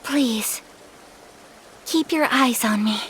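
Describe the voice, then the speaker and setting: A woman voicing a graceful young noblewoman, soft-spoken, polite and earnest. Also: on the high side, slow, slight background noise. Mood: tender.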